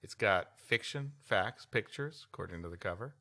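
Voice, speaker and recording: A man's voice: on the low side, lively intonation, normal speed, bright, forceful tone. A man co-hosting a podcast, mixing read-aloud history with wry, rambling banter. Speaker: podcast co-host. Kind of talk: reading a history story aloud with joking banter.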